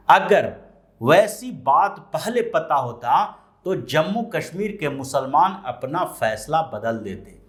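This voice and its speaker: A man delivering explanatory political commentary as a news commentator, sometimes posing rhetorical questions. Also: fairly high pitch.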